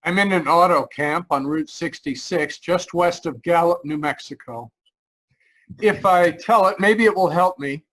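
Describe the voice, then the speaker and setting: An actor playing an anxious, halting man in his thirties in an old-time radio drama. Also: on the high side, a bit bright, poor audio. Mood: anxious, urgent. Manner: urgent, halting, nervous. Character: anxious man in his thirties recounting a terror, insisting he is sane.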